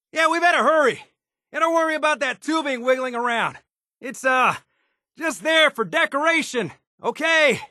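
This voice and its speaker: A man's voice: very high voice, sharp tone, no room sound, studio quality, exaggerated voice. A man playing a down-to-earth, folksy and modest handyman in a wasteland video game, with easygoing, colloquial phrasing. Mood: nervous, hurried. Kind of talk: video game character dialogue.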